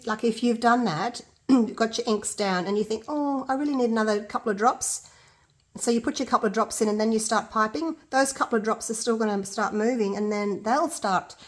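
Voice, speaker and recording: A middle-aged woman with an Australian accent and a congested, blocked-up nose, chatting casually through a resin art tutorial and thinking aloud as she works. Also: mid-range voice. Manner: chatty, self-deprecating, thinking aloud while working.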